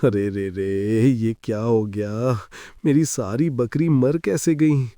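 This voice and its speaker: An actor playing a grieving village goatherd in a cartoon story, wailing in slow laments, recorded dry and close. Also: male voice, mid-range voice, steady tone, background noise. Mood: lamenting.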